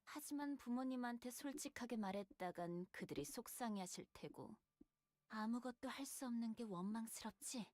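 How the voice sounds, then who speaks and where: Woman voicing a yandere game girl, sweet, manipulative, soft, persuasive, very fast, dry close sound. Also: fairly high pitch, lively intonation, no echo, natural voice. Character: sweet, manipulative young female yandere tempter. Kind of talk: game voice acting.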